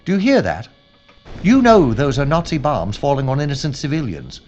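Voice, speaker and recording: An actor playing a dutiful, earnest police inspector in a wartime audio drama, speaking in a formal, grave and courteous way. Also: male voice, medium pitch, big pitch swings, moderate pace, darkish tone. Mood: urgent, stern. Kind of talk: period audio drama dialogue. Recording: slightly muffled with background noise.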